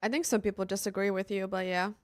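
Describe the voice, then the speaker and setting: A woman's voice: mid-range voice, fairly even intonation, fast talker, no echo, clean studio sound. A young woman from Finland, chatting casually with her live-stream chat while she plays games. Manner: chatty, answers chat offhandedly.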